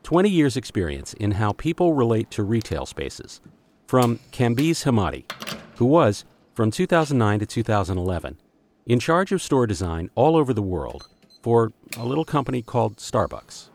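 Lively-voiced male podcast narrator. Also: mid-range voice. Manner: measured storytelling with rhetorical questions. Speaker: podcast host. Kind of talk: scripted narration.

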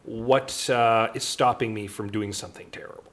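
A man co-hosting a podcast in casual chat, with a dry, wry manner and measured phrasing. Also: lowish voice, steady tone, fairly bright tone. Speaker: podcast co-host.

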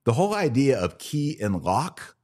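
A man's voice: mid-range voice, very animated, normal speed, darkish tone. A man hosting a podcast, giving conversational religious commentary in an emphatic, argumentative way with rhetorical questions. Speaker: podcast host.

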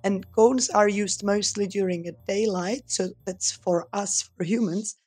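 A woman from the Republic, a research scientist giving thoughtful interview answers with frequent pauses. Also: mid-range voice, fairly even intonation, darkish tone, breathy edge, faint music behind. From Czech Republic. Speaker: guest scientist.

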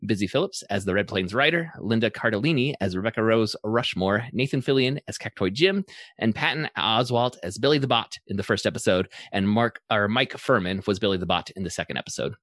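A man's voice: fairly low pitch, expressive, brisk, fairly bright tone, no room sound, studio quality. A man hosting a podcast, moving between prepared introductions and casual discussion. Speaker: podcast host.

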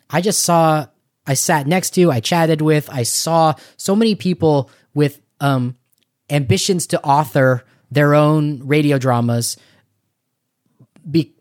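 A man's voice: on the high side, darkish tone, dry recording, studio quality. A middle-aged man co-hosting a podcast in casual talk, often repeating words while he forms a thought. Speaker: podcast co-host. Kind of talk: casual conversational discussion.

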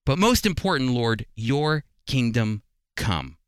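A man's voice: medium pitch, very animated, slow pace, clear, resonant tone, no room sound, studio quality. A man hosting a religious radio program, teaching the Bible in a conversational, earnest, explanatory way with emphasis.

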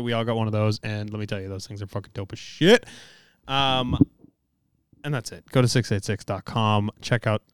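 A man's voice: lowish voice, smooth, no echo, studio quality. A man hosting a podcast, talking loosely with lots of fillers and swearing, and tired after a long day. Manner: loose and profane, many fillers. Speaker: podcast host. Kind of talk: casual podcast talk with ad reads.

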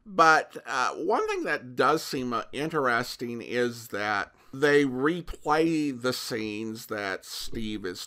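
A man giving conversational review commentary as a podcast host, in an analytical, measured manner with hesitant pauses. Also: medium pitch, sharp tone.

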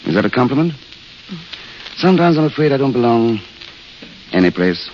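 A man plays a world-weary English gentleman drifter with a very expressive, varied voice. He is courteous, wry and wistful in the manner of classic radio drama, heard through a dull vintage recording. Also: medium pitch, moderate pace, a little hiss or hum, close to an everyday voice. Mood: polite, dry. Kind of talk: 1930s-40s radio drama acting.

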